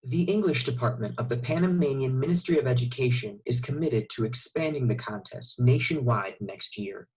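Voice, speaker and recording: A man reading scripted narration as the narrator of an informational video, with a slightly husky voice. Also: mid-range voice, normal speed, few pauses, darkish tone, low-quality recording.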